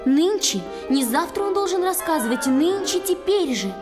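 A woman's voice: extremely high pitch, animated, moderate pace, big-room sound, voice pushed up. A woman voicing a playful, willful eighteen-year-old water-sprite girl in an audio fairy-tale play, childlike and impulsive. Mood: petulant, demanding. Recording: music under the voice.

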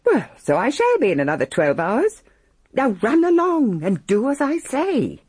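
An actress playing a brisk, reckless, worldly middle-aged mother in a radio drama, sardonic and commanding. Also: fairly high pitch, wildly swooping pitch, a little mellow, slightly rough, no echo, heavily acted. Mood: intense, commanding. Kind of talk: BBC radio drama acting.

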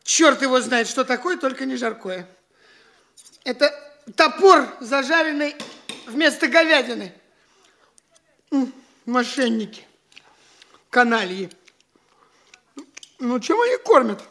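An actor playing a vain, fussy, hungry young gentleman in a theatrical radio play, haughty and exclamatory. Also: extremely high pitch, lively intonation, slow pace, gravelly, pauses often, full character voice. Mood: disgusted grumbling.

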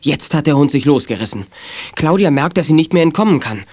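A man's voice: fairly high pitch, expressive, fairly quick, a little mellow, no echo. A man narrating a children's adventure radio play in a scripted, dramatic storyteller's style. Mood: suspenseful.

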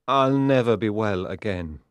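A man voicing a lovesick male king who speaks eagerly and excitedly, in a storybook reading. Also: on the low side, measured pace, no room sound.

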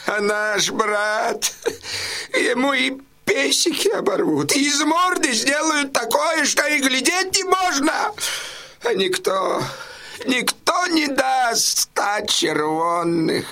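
A man's voice: extremely high pitch, very animated, slow pace, pushed and bright, heavily acted. An actor playing an anxious, fussy male trader who wails and laments in an audio drama. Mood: lamenting. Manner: wailing, fussy, exclamatory.

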